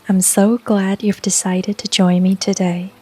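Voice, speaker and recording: A woman narrating a scripted guided meditation in a calm, soothing, unhurried way, with long pauses between phrases. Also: medium pitch, slightly rough. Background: soft music and rain sounds.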